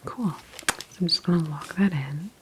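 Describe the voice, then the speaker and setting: A woman whispering softly and breathily in an ASMR roleplay, playing a friendly, reassuring medical examiner with a gentle, unhurried manner. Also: lowish voice, noisy background. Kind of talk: ASMR personal-attention roleplay.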